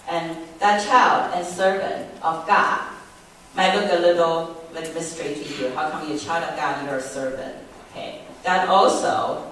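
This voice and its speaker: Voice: mid-range voice, fairly bright tone, slight background noise. Taiwanese-accented speaker in an echoey room. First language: Mandarin. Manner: halting, many fillers and restarts. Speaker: presenter. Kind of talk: personal testimony talk. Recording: echoey room, distant mic.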